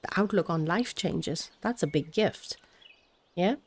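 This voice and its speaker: A woman whose first language is Hindi gives a spiritual tarot reading, speaking gently and meandering with fillers and restarts. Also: lowish voice, expressive, unhurried, slightly rough. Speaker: tarot reader.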